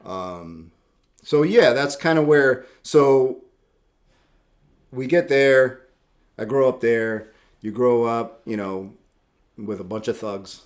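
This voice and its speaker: A man's voice: mid-range voice, slow, long pauses. A middle-aged man from Puerto Rico, a tattoo shop owner telling his story in casual interview chat, easygoing and rambling with repeated words.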